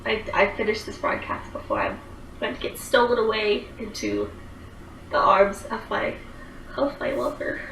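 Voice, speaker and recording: A woman's voice: mid-range voice, very animated, moderate pace, creaky, a bit bright, echoey room, far from the mic, a little hiss or hum, rough recording. A woman in her twenties chatting casually on a livestream, rambling with lots of fillers as she answers viewer comments. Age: 20s.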